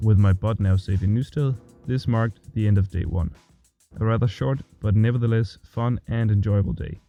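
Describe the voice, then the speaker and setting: A man's voice: lowish voice, steady tone, moderate pace. A young man with a Danish accent narrates his cycling trip in a scripted voice-over, with a dry, self-mocking humor. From Denmark. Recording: dull, dry voice-over with faint music.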